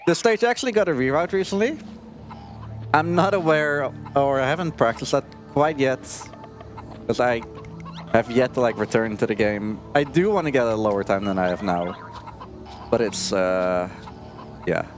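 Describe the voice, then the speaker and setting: Man commentating a game over loud music. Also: mid-range voice, lively intonation, normal speed, long pauses. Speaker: speedrunner playing and commentating live. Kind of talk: casual live gameplay commentary. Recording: live marathon stream with game audio.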